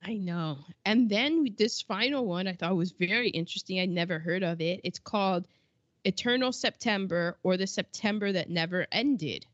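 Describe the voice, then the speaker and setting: A woman's voice: medium pitch, moderate pace, dry recording, lo-fi sound. Chatty woman co-host reading out old news. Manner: chatty, quips and acts out quotes. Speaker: podcast co-host. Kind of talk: casual chat reading out history notes.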